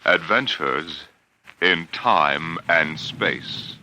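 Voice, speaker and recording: Deep, portentous vintage radio narrator. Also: male voice, background noise, exaggerated voice. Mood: solemn, ominous. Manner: dramatic, deliberate, solemn. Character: grave, portentous male narrator of science fiction tales. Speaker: announcer. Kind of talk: 1950s radio drama narration. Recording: old 1950s radio broadcast.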